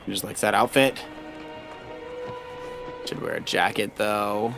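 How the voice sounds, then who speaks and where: Animated man doing playful gameplay commentary. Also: lowish voice, slow pace, gravelly. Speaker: let's play co-host, father playing with his child. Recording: game music behind the voice. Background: video game music.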